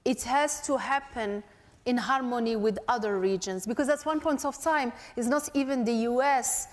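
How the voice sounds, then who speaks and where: High-voiced woman panelist in a hall. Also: moderate pace. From Morocco. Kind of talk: unscripted panel discussion. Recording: echoey conference hall.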